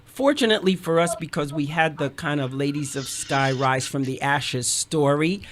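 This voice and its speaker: A woman's voice: low-pitched, moderate pace. Woman musician chatting over background chatter. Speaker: musician guest, band member. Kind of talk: casual interview chat.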